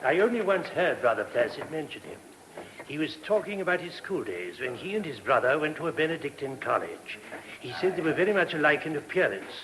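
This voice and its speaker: An actor in a radio play voicing a deferential, helpful monk who speaks in a measured, reflective way. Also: male voice, fairly high pitch, very animated, fairly bright tone, rough recording. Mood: earnest, reflective. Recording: old radio recording, faint noise.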